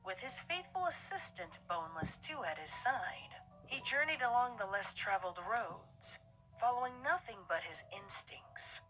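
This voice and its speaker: A woman narrating a scripted fan-fiction story in a theatrical, deliberate storytelling manner. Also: a little high, animated, bright, forceful tone, faint music behind, low-quality recording.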